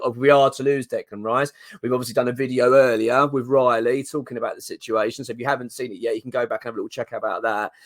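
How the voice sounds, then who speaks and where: Hoarse man chatting. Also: on the low side, no echo, clean studio sound. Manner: chatty, rambling, excitable with many ums and restarts. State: hoarse from a cold. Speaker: fan channel host. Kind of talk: casual solo commentary to camera.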